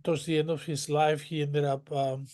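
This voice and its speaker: A man's voice: a little high, level tone, normal speed, a little mellow. Man telling a rambling story. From northern Mexico. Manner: rambling, detailed storytelling with many restarts. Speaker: guest. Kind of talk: conversational interview.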